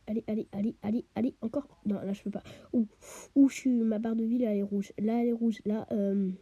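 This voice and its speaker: A woman talks through her game in a husky, breathy voice with a very dark, mellow tone, a bit stuffy from a cold. She chats away casually, often sinks into a half-whisper and peppers it all with quick little interjections. The sound is close and completely dry. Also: on the high side, steady tone, no room sound, highs cut off. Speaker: gamer. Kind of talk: let's play commentary.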